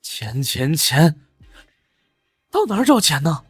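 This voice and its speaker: An actor playing a young beggar in a costume drama, husky, exasperated yet protective. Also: male voice, on the high side, sing-song, slow pace, fairly bright tone, no room sound, faint music behind, heavily acted. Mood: anxious resolve. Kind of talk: dubbed animated costume drama.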